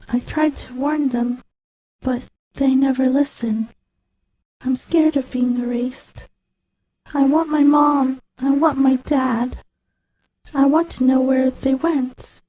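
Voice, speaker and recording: A woman playing a very soft, lonely machine child. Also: high-pitched, slight background noise, poor audio. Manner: slow, halting, pleading. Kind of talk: scripted audio drama monologue.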